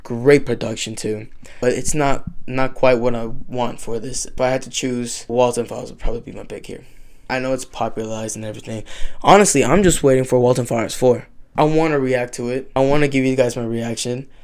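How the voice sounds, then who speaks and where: A man giving casual, unscripted commentary as a YouTuber, informal and halting, with some swearing. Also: medium pitch, fairly even intonation, darkish tone.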